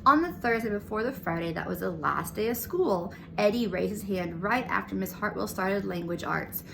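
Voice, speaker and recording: A woman's voice: mid-range voice, animated, moderate pace, vocal fry, noisy background. A woman reading a children's picture book aloud as a storyteller, narrating the scenes. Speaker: storybook reader.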